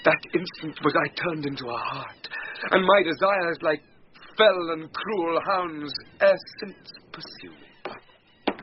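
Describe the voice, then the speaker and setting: A man's voice: on the high side, slow pace. An actor playing a lovesick, melancholy, self-indulgent nobleman in a classical Shakespearean radio drama, with a theatrical, poetic, sighing delivery. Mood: rapturous. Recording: muffled, noisy 1990s radio broadcast.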